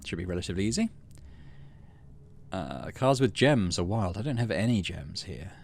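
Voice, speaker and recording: A man's voice: low-pitched, big pitch swings, normal speed, vocal fry, a little mellow, soft background music. A man hosting a gaming video, narrating and musing in a casual, conversational way. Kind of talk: casual playthrough commentary and narration.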